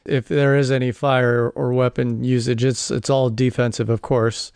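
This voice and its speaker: A male host leads a podcast interview, asking his guest questions with engaged interest. His voice is smooth and clear at an ordinary pitch. It is recorded close, with a dry sound. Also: level tone, normal speed, a little mellow, no echo.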